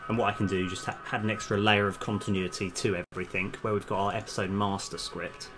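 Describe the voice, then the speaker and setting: Low-voiced man thinking aloud on stream. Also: flat delivery, fast talker, over loud music. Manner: hesitant, muttering to self, self-correcting. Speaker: game developer live streamer. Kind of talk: casual live-stream coding commentary, thinking aloud.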